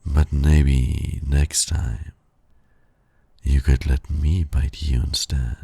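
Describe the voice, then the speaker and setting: A man playing a suave, teasing supervillain in a flirtatious ASMR romance roleplay, gravelly and breathy, purring and often dropping to a whisper. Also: extremely low pitch, flat delivery, very slow, mellow, dry recording, full character voice. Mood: flirtatious, pleased. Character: suave, teasing male supervillain courting his hero nemesis.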